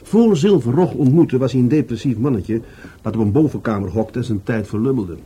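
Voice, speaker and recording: A man's voice: medium pitch, lively intonation, fairly quick, mellow, a little hiss or hum, lightly acted. A man acting in a Dutch radio play as an earnest, thoughtful police adjutant, politely and persistently explaining to his superior.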